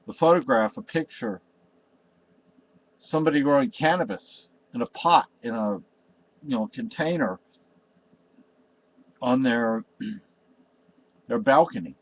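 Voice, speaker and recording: An older man from Spain talking to his webcam at home in a meandering, emotional way, full of restarts and "you know". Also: medium pitch. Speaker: vlogger. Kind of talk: rambling personal monologue to camera. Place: cluttered room at home, indoors. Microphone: webcam in front of him. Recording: slightly dull lo-fi webcam audio.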